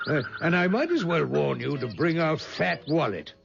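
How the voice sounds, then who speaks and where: A gravelly old colonel, hearty and coaxing, his voice riding high and swooping lively through each line. The sound is muffled, an old radio drama with music under it. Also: normal speed. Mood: jovial. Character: genial, sly older male colonel. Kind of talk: 1970s radio drama acting.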